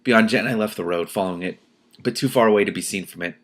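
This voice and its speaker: Expressive low-voiced man reading aloud. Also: quick pace. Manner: expressive, exclamatory, breaks off to comment. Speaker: reader and commentator, host of a read-aloud series. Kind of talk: reading a novel aloud with spontaneous reactions.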